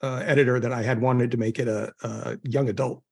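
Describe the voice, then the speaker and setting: Man haltingly telling casual stories. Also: medium pitch, level tone, vocal fry, a little mellow, slightly rough. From southern California. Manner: halting, self-interrupting, groping for words. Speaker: guest author. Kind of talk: casual conversational storytelling.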